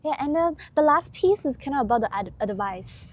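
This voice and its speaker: A woman's voice: high voice, lively intonation, a little fast. Giggly young woman hosting a podcast. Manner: hesitant phrasing, frequent little laughs. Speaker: student podcast host. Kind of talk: casual interview chat.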